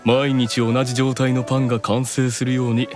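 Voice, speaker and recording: An actor playing a proud, keenly observant demon eldest brother in an anime audio drama, explaining things with deliberate authority. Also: male voice, medium pitch, flat delivery, music in the background, lightly acted. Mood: quietly absorbed.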